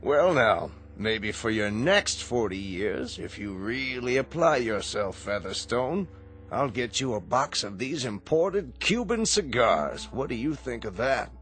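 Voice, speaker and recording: Smug boss, slow swooping delivery. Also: male voice, medium pitch, sing-song, fairly bright tone, faint music behind. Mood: smug, patronizing. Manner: pompous, patronizing. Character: smug, condescending male tycoon boss. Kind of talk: radio drama acting.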